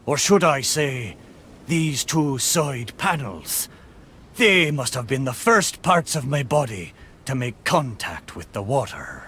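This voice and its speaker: Theatrical, bright-voiced seafaring ship spirit. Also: male voice, mid-range voice, big pitch swings, slow, slight background noise, full character voice. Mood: wistful, reflective. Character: talking ship spirit, hearty old seafarer, wistful, good-natured. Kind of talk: scripted video game character dialogue.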